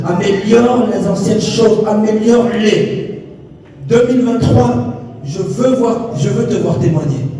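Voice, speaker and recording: A man whose first language is Swahili preaches with emphatic repetition, his voice dark and mellow. He sounds distant from the mic, with long reverb filling a church hall. Faint music plays behind him. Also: high voice, slight background noise. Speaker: pastor. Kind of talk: sermon.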